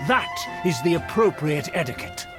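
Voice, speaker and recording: A man's voice: a little high, animated, moderate pace, lightly acted. An actor playing a haughty, easily offended nobleman in a video game, with pompous, scornful and elaborately formal delivery. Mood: condescending. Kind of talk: theatrical video game voice acting. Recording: music behind the voice.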